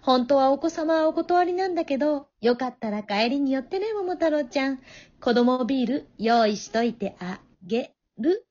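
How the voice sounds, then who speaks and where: A vain, coquettish pheasant madam voiced by a woman in a comedy radio drama. She speaks in a high, womanly voice with gentle condescension. The sound is a little dull. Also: expressive, moderate pace. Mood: motherly, charming. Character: proud, coquettish female pheasant, a worldly bar hostess. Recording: dull lo-fi audio.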